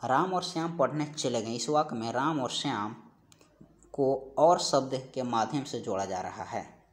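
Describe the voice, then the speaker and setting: A man teaching an exam-prep grammar lesson, reading out questions and answers and repeating each one, slowing down on key phrases. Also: mid-range voice.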